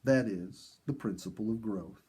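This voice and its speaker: A man narrating a story in an earnest, dramatic storybook style with emphatic pauses. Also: on the low side, a little mellow, husky. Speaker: audiobook narrator.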